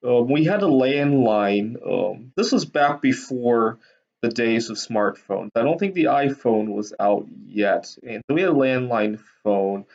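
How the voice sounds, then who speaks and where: A man from Taiwan casually telling personal anecdotes to viewers in a hesitant, halting way with frequent ums. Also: medium pitch, lively intonation, a little mellow. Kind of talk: casual rambling storytelling. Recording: dull lo-fi sound.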